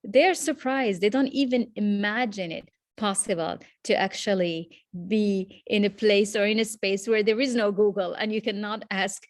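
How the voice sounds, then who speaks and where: A woman, answering questions as an interview guest in a reflective, measured way over a video call. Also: medium pitch, no room sound, clean studio sound. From Morocco. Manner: reflective, measured, with frequent restarts.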